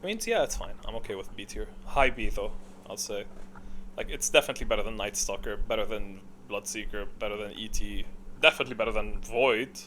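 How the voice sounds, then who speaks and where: A man's voice: fairly low pitch, a bit bright, a little hiss or hum. A man hosting a video in casual, unscripted gaming commentary, speaking in a blunt, opinionated way. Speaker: video host ranking game voice lines.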